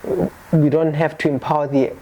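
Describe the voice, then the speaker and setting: Mellow man, reflective host. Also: a little high, flat delivery, a little fast. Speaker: podcast host, therapist. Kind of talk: conversational book discussion. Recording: clear background noise.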